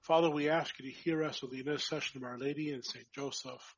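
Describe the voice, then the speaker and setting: Husky-voiced priest, reflective and warm-hearted. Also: male voice, medium pitch, steady tone, fast, no room sound, muffled recording. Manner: reflective, with frequent restarts and fillers. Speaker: priest and vocation director. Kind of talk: conversational podcast chat.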